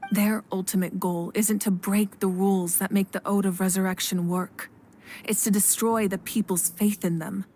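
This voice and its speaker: A woman playing a calm fire goddess leader. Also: medium pitch, close to an everyday voice. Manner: measured, patient, reasoning. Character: calm, authoritative female fire goddess ruler. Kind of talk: game voice acting.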